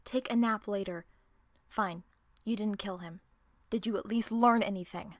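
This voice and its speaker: A woman acting in an audio drama as a sharp-tongued, distrustful team member who swears and confronts people. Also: medium pitch, normal speed, lightly acted. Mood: impatient, worried. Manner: profane, confrontational, sarcastic. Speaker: voice actor in an audio roleplay.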